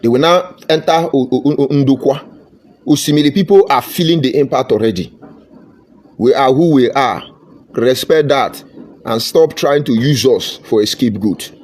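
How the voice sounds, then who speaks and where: Man, Nigerian accent, mid pitch, fervent, combative political rant, Pidgin phrases. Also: lively intonation, normal speed, a little mellow. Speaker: political commentator. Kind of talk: impassioned political monologue.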